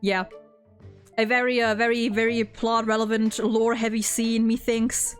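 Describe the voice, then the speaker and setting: A woman's voice: a little high, flat delivery, a little slow, smooth. A woman chatting casually as host, giving opinionated fan commentary in a rambling, gushing, sweary way. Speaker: host of a game story ranking discussion. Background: background music.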